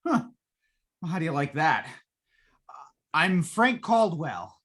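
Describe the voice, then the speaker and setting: A woman's voice: deep voice, very animated, slow, fairly bright tone, heavily acted. A woman playing a defensive, hesitant company technician, a man, in old-time radio style. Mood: defensive, flustered.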